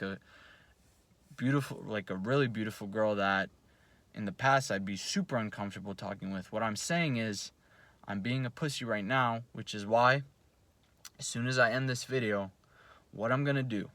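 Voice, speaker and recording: A man's voice: on the low side, pushed and bright, no room sound. A young man whose first language is Russian, talking in a blunt, profane, self-coaching vlog monologue at home at night. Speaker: vlogger. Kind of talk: casual motivational monologue. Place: home, at night before bed.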